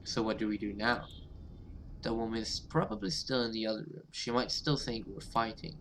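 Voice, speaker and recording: A man's voice: on the low side, expressive, moderate pace, creaky, fairly bright tone, slight background noise, rough recording. Man reading as an anxious horror-game survivor. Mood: worried. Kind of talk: horror visual novel read aloud.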